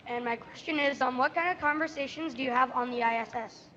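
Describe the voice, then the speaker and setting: A high-pitched woman speaks from a distance, slowly reading out her question from the audience. The audio is muffled, with faint ambience around her. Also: a bit bright, a little hiss or hum.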